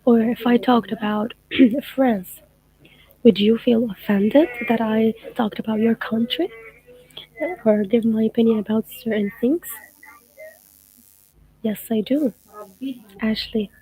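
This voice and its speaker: A woman's voice: a little high, fairly even intonation, mellow, husky, no room sound, poor audio. A young woman from Gaza whose first language is Arabic, chatting casually on a livestream and reading follower comments aloud. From Gaza, Palestine. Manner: thoughtful, unhurried, reads comments aloud. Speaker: livestream host answering followers.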